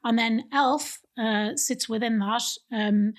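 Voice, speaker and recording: A middle-aged Irish woman, a think tank director, explaining her work in a relaxed interview with frequent ums and restarts, recorded on a stand mic in a living room studio. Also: a little high, takes it slowly. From Ireland. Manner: explanatory, many fillers and restarts. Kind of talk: conversational interview.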